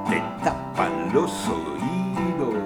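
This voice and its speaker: A man with an Argentine accent singing his own songs in an unhurried, drawn-out way. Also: mid-range voice, very animated. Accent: Argentine Spanish. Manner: unhurried, drawn-out sung phrasing. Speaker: singer-songwriter. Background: acoustic guitar accompaniment.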